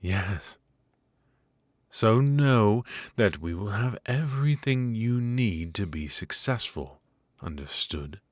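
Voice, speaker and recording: A man's voice: low voice, no room sound. A man voicing a cold, strict royal professor of magic, stern and clipped, with dry, condescending remarks in an ASMR roleplay.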